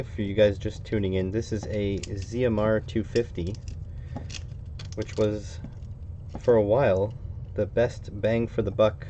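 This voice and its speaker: Low-voiced Canadian man thinking aloud. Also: dark tone. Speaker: hobbyist electronics streamer. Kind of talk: casual live stream chat while working. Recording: dull, slightly lo-fi with faint noise.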